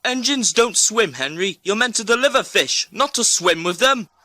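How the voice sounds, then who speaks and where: A man's voice: high-pitched, lively intonation, moderate pace, bright, forceful tone, no room sound, clean studio sound. A man playing a stern, pompous railway boss in a fan-dubbed cartoon, reprimanding like a superior. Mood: stern, scolding. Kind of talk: fan-dub cartoon acting.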